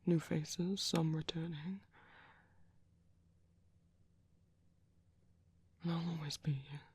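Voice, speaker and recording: A man playing a gentle, caring vampire priest in a whispered ASMR roleplay, speaking slowly and tenderly with soft, hesitant reassurance. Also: fairly high pitch, steady tone. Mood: wistful, gentle. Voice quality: soft, breathy, husky whisper.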